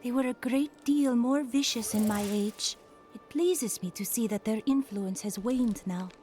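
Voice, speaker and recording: Lady playing an ancient, composed woman in a game, formal, slightly high voice, some music behind. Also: a little slow, darkish tone, close to an everyday voice. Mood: reminiscing. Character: ancient follower of a prophet, composed, storytelling woman. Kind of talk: video game voice acting.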